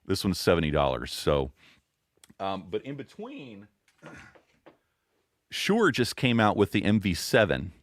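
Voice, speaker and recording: A man with a boomy voice, a podcast guest explaining microphones and audio settings in a relaxed, casual interview chat. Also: measured pace, long pauses. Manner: relaxed, explains gear step by step with fillers.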